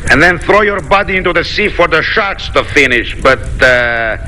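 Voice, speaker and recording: An actor playing a swaggering, leering pirate villain in a theatrical, gloating, menacing way, in an old radio comedy. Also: male voice, a little high, expressive, moderate pace, sharp tone. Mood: gloating menace. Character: swaggering, leering male pirate villain who casts spells. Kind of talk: 1940s radio comedy acting. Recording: noisy old radio recording.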